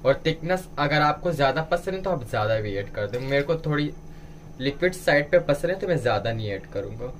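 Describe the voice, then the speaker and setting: A man's voice: medium pitch, expressive, fairly quick, slight background noise. A man giving a step-by-step cooking tutorial as a home cook, instructing viewers directly. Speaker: home cook presenting a recipe.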